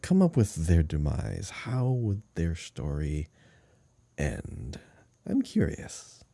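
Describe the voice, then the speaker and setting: Man, low warm voice, very relaxed tone, enthusiastic casual podcast chat, dry close recording. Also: slow, creaky, dry recording. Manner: enthusiastic, emphatic. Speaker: podcast co-host. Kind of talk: casual chat about a video game.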